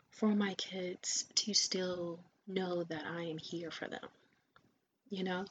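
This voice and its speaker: A woman podcast host and coach giving an earnest, encouraging motivational talk, often dropping her voice to a near whisper. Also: mid-range voice. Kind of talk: motivational monologue. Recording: dull lo-fi sound with faint ambient noise.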